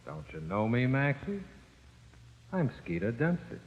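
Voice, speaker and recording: Needling dead-gangster ghost, slangy and gruff, animated delivery, thin phone-like old radio sound. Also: male voice, medium pitch, measured pace, in a large hall. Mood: taunting, calm. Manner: slangy, ungrammatical, coolly needling tough-guy talk. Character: ghost of an executed gangster, gruff, taunting, unbothered. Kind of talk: old-time radio crime drama acting.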